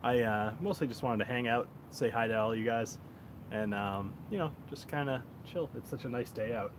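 Chill guy rambling on a livestream, average pitch, phone mic outside, pretty noisy. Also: moderate pace. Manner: laid-back, rambling, chuckles often. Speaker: whiskey reviewer, livestream host. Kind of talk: casual livestream chat. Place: outdoors on a backyard deck. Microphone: cell phone on a tripod. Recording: noisy outdoor phone recording.

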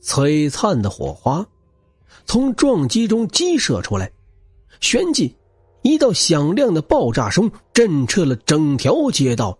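A man's voice: fairly high pitch, wildly swooping pitch, takes it slowly, darkish tone, breathy edge, no room sound. A man narrating action fiction as a scripted audiobook, with a dramatic, theatrical and intense delivery.

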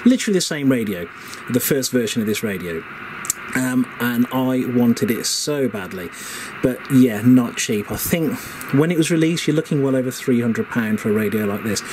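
A man's voice: mid-range voice, lively intonation, normal speed. A middle-aged man, casually and enthusiastically showing gear on camera in an unscripted, chatty way. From England. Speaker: vlog host showing vintage radio gear. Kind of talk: casual unscripted product show-and-tell. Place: indoor workshop shed. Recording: clearly noisy room background.

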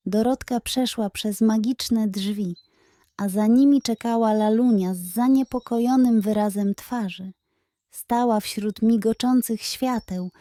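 Slow, mellow woman storyteller. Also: a little high, no room sound. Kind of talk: scripted children's bedtime story narration.

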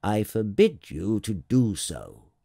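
A man's voice: on the low side, very animated, slow pace, gravelly, mellow, no echo, clean studio sound, heavily acted. Man putting on a pompous, imperious storybook king. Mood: pompous, commanding. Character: pompous, kindly absolute king, vain but reasonable.

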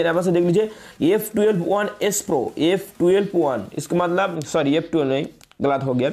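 High-pitched young man. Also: darkish tone. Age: young adult. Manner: repetitive, frequently addresses viewers as guys, mixes in English words. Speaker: tech video host. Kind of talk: casual phone update tutorial.

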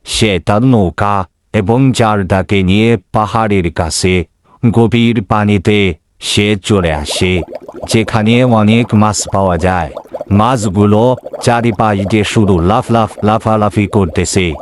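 A man's voice: lowish voice, slow, a little hiss or hum. A man telling a folk tale as a scripted storyteller.

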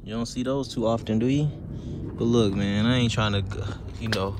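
A man's voice: fairly low pitch, steady tone, moderate pace. Teasing man filming a car lot. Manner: teasing, chatty, addresses viewers as y'all. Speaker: car flipping vlogger. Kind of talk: casual walkaround commentary. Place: outdoor car auction lot. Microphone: handheld phone camera. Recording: phone video with outdoor noise.

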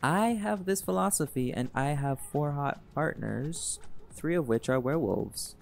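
Guy doing a chill flirty jaguar shifter dude, kinda low and gravelly, easygoing, soft music under it. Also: unhurried. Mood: laid-back. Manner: easygoing, teasing, relaxed drawl with laughs. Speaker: voice actor in audio roleplay. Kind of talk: audio roleplay, speaking to listener.

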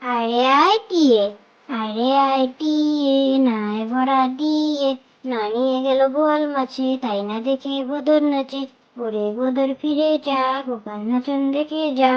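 A woman's voice: high voice, measured pace, highs cut off. A woman reciting children's nursery rhymes in a sing-song style, in short phrases.

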